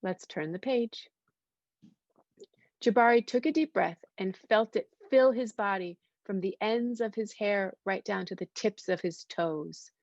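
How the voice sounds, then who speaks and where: Female children's story reader: expressive, child-directed, medium pitch. Dry, slightly muffled recording. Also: unhurried, no room sound. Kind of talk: read-aloud storytelling with questions to children. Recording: dull, lo-fi sound.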